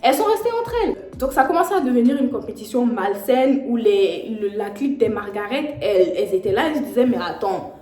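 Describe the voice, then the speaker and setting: Brief: slangy, animated young woman storyteller, slightly high voice, echoey room, faint music. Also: fast talker, few pauses. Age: young adult. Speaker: vlogger. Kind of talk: storytime vlog.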